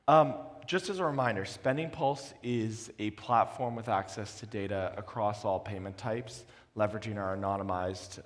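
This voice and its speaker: Slightly raspy low-voiced man on stage. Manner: measured, with frequent "uh" fillers. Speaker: consultant panelist. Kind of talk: onstage panel conversation. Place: large conference hall. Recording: long reverb of a conference hall.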